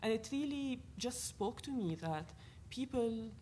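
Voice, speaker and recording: A woman's voice: a little high, measured pace, a little hiss or hum. Earnest Lebanese-accented woman panelist. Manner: earnest, animated, thinks aloud with fillers. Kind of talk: panel discussion talk. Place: university panel event.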